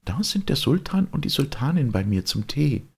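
A man voicing an eager, bossy young princess in a fairy tale, high-pitched and instructing. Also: very animated, normal speed, vocal fry, slightly rough, full character voice.

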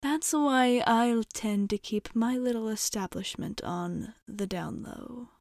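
Soft, slow-spoken courteous vampire woman, acted out. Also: fairly high pitch, vocal fry, no echo, studio quality. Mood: grave, cautious. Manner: polite, measured, formal, often dropping into near-whisper. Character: courteous, guarded female vampire bartender fond of humans. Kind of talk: ASMR roleplay, soft slow delivery.

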